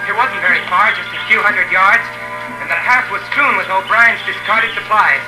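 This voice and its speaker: A man acting as a haunted adventurer who narrates a deadly jungle ordeal in dramatic, deliberate 1950s radio drama style. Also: high voice, close to an everyday voice. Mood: tense, measured. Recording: old echoey radio recording with music.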